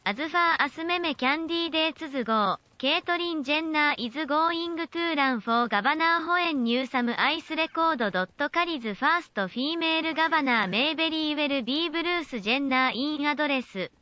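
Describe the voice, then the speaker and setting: A high-pitched computer voice of a woman reads donation messages at breakneck speed. The tone is bright and sharp, with clipped, mechanical phrasing, and the sound is completely dry. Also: lively intonation, no room sound. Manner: rapid, clipped synthetic delivery. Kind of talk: text-to-speech readout of donations.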